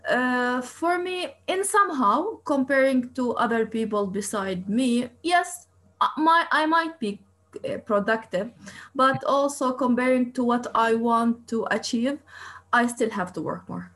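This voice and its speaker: Higher-voiced woman. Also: fairly bright tone. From East Jerusalem. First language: Arabic. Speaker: interview guest, coach and researcher. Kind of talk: interview answers.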